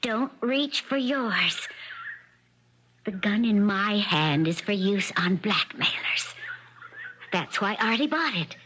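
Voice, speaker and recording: An actress playing a cold, sardonic wronged wife with a mocking, deliberate delivery in a dull, noisy 1970s radio drama. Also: female voice, medium pitch, lively intonation. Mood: bitter mocking.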